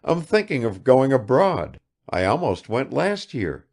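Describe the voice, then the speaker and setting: A man's voice: mid-range voice, very animated, gravelly, a little mellow, voice pushed up, exaggerated voice. A man reading aloud and voicing an affected, chattering provincial woman who fires off questions without waiting for answers. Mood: gushing chatter. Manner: gushing, rapid-fire questions.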